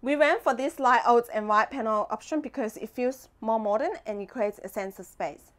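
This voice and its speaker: Young female vlog host, fairly high voice, casual guided-tour delivery, recorded in a compact boat cabin. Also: normal speed, a bit bright. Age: young adult. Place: inside a small sailboat cabin.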